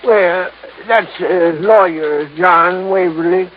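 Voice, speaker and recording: A woman playing an evasive, hesitant office records clerk in a 1950s radio drama. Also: lowish voice. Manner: hesitant, drawling, starts with drawn-out 'well'. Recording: dull, noisy old radio recording.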